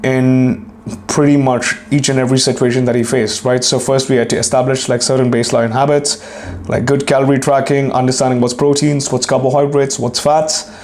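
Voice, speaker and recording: A hoarse young man hosting a solo fitness podcast, rambling and swearing casually while clearing his throat. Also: mid-range voice, little pitch movement, fairly quick, darkish tone. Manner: profane, rambling, self-deprecating. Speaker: podcast host and fitness coach.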